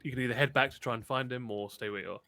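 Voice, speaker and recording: A man acting as game master, narrating a tabletop game in a halting, conversational way. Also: mid-range voice, fairly even intonation, sharp tone, no room sound.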